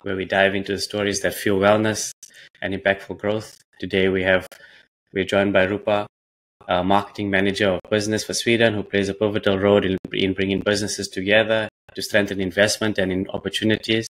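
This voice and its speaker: A man's voice: on the low side, flat delivery, brisk, fairly bright tone, low-quality recording. A man hosting a podcast over a video call on a headset, often rephrasing his questions as he asks them. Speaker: podcast host. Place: indoor room.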